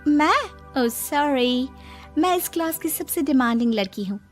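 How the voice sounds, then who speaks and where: A woman voicing a cocky, playful young college girl with boastful, drawn-out delivery in an animated story. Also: high-pitched, soft background music. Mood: haughty. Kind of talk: animated moral story dialogue.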